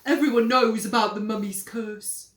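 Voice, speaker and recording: A woman voicing a scheming, blustering young boy from a children's storybook, with a forceful, melodramatic delivery. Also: a little high, fairly even intonation, normal speed, far from the mic, natural voice. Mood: scheming, ominous. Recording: roomy echo.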